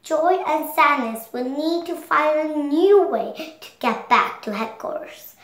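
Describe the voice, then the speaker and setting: High-pitched young girl reading aloud. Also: animated, fairly bright tone, echoey room. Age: child, 7 years old. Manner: careful, halting reading aloud. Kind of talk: read-along storybook narration.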